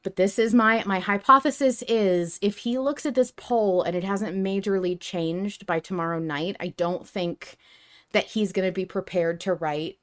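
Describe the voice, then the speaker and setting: A woman's voice: mid-range voice, moderate pace, fairly bright tone. A woman hosting a casual fan discussion podcast, talking in a chatty, opinionated way. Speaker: podcast host.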